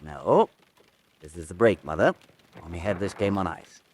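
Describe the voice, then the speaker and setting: A man's voice: low voice, lively intonation, moderate pace, husky. An actor playing a confident young man, the breezy and cocky son of the house, in an old-time radio drama. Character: brash, confident grown son at card game. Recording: background noise behind the voice.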